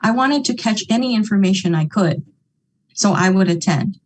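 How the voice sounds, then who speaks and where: A woman from Hawaii talks earnestly to her network-marketing audience, chatty and talkative, with many asides. Her voice sits in a middle pitch, and she often checks in with the listeners with little tags. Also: lively intonation, moderate pace. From Hawaii, United States. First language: English. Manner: chatty, earnest, repeats points with "okay?" and "right?". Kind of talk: motivational talk.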